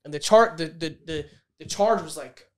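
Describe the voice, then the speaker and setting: A man with a big, animated voice, telling a story on a casual podcast. He swears freely and fires back fast at anyone who teases him. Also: fairly high pitch. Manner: big voice, animated and profane, quick to snap back. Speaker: podcast co-host.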